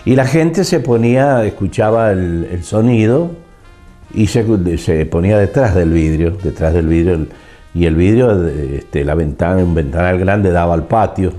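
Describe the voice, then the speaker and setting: An older man with a Mendoza Argentine accent, reminiscing haltingly in an interview as a veteran radio actor. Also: low-pitched, warm tone, faint music behind. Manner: halting, repeats words as he searches.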